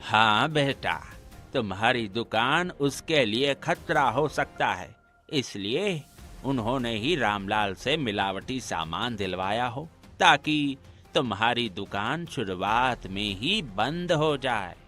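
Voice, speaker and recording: A man's voice: medium pitch, expressive, slow, sharp tone, soft background music. A man voicing a grave, caring older uncle in a village cartoon. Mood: warning.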